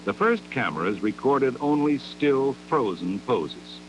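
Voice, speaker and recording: A man narrates a scripted 1960s educational film in a measured, didactic manner, with deliberate phrasing, on an old 16mm soundtrack. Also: mid-range voice, animated, over music, poor audio.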